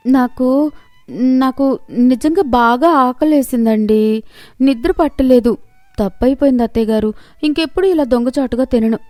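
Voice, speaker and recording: A woman's voice: high-pitched, unhurried, long pauses, darkish tone, dry recording, clean studio sound, soft background music, voice pushed up. A woman voicing a meek young daughter-in-law in a moral story, pleading and hesitant.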